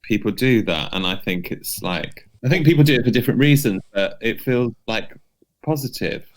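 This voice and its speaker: A man co-hosting a podcast, giving advice informally and haltingly, often saying "like". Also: mid-range voice, animated. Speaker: podcast co-host. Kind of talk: casual advice chat. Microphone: remote recording from separate location.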